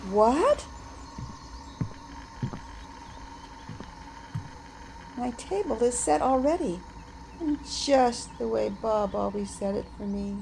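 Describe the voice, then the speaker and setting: An actress playing Bee, a vengeful woman who stings rude people, in a radio drama with a slow, measured delivery. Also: on the high side, gravelly, warm tone, a little hiss or hum, rough recording. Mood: wistful.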